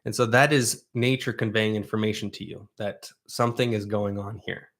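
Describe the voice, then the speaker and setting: A man's voice: lowish voice, steady tone, moderate pace, a bit bright. A man whose first language is Russian, from Oregon, hosting a livestream and answering viewer questions in a loose, rambling way. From Southern Oregon, USA. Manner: rambling, conversational, with frequent restarts. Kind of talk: casual chat.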